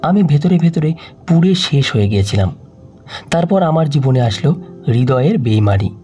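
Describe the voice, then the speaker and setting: A man narrating an emotional audio story in the first person, reading in a soft, sorrowful way. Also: medium pitch.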